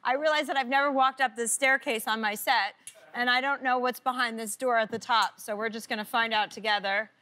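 A woman's voice: on the high side, steady tone, fairly quick, pushed and bright. A woman hosting a talk show interview, asking short questions and reacting briefly. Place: talk show studio with audience.

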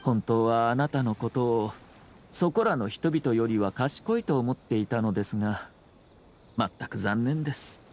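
A man playing a refined young noble commissioner in a Japanese anime game, speaking calm, formal words laced with veiled sarcasm. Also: medium pitch, measured pace, close to an everyday voice. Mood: cool, ironic. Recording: game dialogue over ambient scene noise. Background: game scene ambience.

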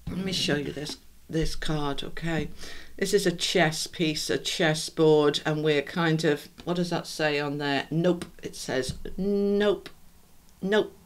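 A woman's voice: low-pitched, takes it slowly. A middle-aged British woman from the UK giving a chatty, rambling tarot reading to her viewers, her voice a little throaty and congested. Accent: British English. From United Kingdom. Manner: chatty, rambling, addresses viewers warmly as a group. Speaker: tarot reader. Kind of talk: casual spoken tarot reading.

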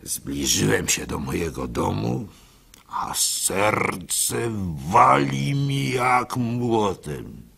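Naive village baker, trusting, gravelly, unhurried, halting storyteller, radio drama. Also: male voice, on the low side, full character voice. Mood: excited, nervous. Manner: expressive storytelling, halting and self-questioning. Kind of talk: dramatic first-person radio monologue.

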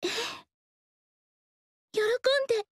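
An actress voicing a gentle, melancholy young female dancer who speaks softly and politely in a game. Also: takes it slowly, dry recording, lightly acted. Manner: soft, polite and reserved. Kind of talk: game dialogue. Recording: dry studio voice.

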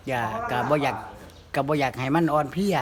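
A man's voice: mid-range voice, brisk, crowd noise. A man with a northeastern Thai accent, chatting and telling humorous folk stories in a casual, rambling way. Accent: northeastern Thai (Isan). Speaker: comic storyteller. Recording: clear background noise.

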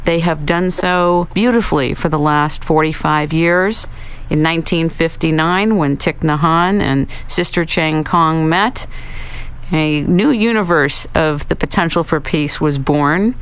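A woman's voice: sits low, unhurried, clean tone. A woman hosting a webcast, opening the segment in an effusive, announcer-like manner and then interviewing her guest. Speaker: webcast host. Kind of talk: scripted show introduction and interview.

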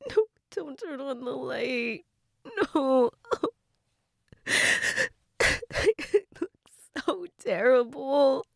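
A woman acting a grieving girlfriend in an intimate audio role-play, crying and fragile, halting and repeating herself, often dropping to a whisper. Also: high-pitched, expressive, vocal fry, a little mellow, dry recording, clean studio sound, heavily acted. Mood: tearful, drained. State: crying, sniffly, breathy from tears. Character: grieving girlfriend, tearful and fragile, insisting she's fine.

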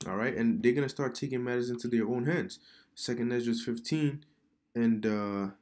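A man giving casual religious commentary over news articles he reads aloud, halting and repeating words, often calling the listener "man.". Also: mid-range voice, a little mellow. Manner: preachy, addresses viewer as man. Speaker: online commentator. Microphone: computer mic during screen recording.

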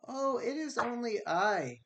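A man voicing a tiny, timid young billy goat with a very small, soft, pleading voice, for a storybook. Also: very high-pitched, sing-song, measured pace, heavy background noise, voice pushed up, full character voice.

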